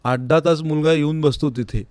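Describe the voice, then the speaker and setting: A man's voice: fairly high pitch, steady tone, a little fast, darkish tone, clean tone, dry recording. A man answering interview questions in an earnest, conversational way, repeating words for emphasis. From Maharashtra, India. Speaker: interview guest, classical vocalist. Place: recording studio.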